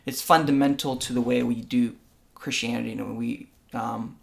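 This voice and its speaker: A man talking through church tradition in a thoughtful, explanatory way. He keeps checking in with his co-host with a quick "right?" His voice sits at an ordinary middle pitch. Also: fairly even intonation, gravelly. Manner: earnest, explanatory, asks rhetorical "right?". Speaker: podcast co-host. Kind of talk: conversational discussion on faith and church.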